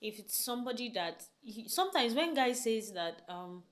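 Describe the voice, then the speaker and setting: A woman's voice: on the high side, big pitch swings, moderate pace. A young woman giving relationship advice to camera in a chatty, emphatic way, full of rhetorical questions. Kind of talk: casual talk to camera.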